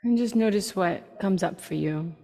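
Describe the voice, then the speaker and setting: A woman with a low-pitched voice leads a guided meditation, soft-edged and mellow in tone. She speaks in short, unhurried phrases and leaves long pauses between them. A long reverb trails behind her words. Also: creaky. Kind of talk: slow, soothing guided meditation.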